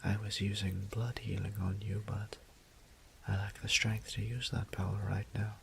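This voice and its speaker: A man playing a gentle, apologetic old vampire priest in an intimate audio drama, speaking in formal, hesitant phrases with a breathy half-whisper. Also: fairly low pitch, level tone, normal speed, a little hiss or hum. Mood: hesitant, reluctant. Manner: hesitant, formal old-fashioned wording, tender.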